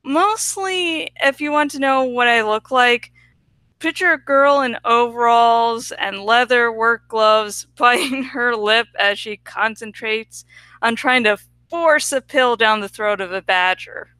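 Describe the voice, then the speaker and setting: Female storybook narrator, bright and forward tone, unhurried pace, expressive first-person read. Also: a little high, clear, resonant tone, dry recording. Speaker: audiobook reader.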